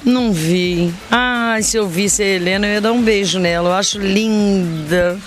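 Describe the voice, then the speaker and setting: A woman's voice: mid-range voice, measured pace, over music. A middle-aged Brazilian woman interviewed outdoors by the beach, talking in an effusive, emphatic way into a handheld mic. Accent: Brazilian Portuguese. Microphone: reporter's handheld mic.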